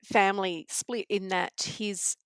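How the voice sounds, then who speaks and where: A woman, a novelist, talking reflectively in an interview, often pausing and restarting her thoughts. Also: medium pitch, steady tone, clean tone, no room sound, clean studio sound. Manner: reflective, many restarts and ums. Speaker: crime novelist guest.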